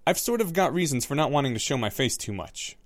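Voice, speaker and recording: A man's voice: medium pitch, sing-song, quick pace, no room sound, natural voice. A man voicing a clever, theatrical young boy wizard who talks with confident, reasoning flair.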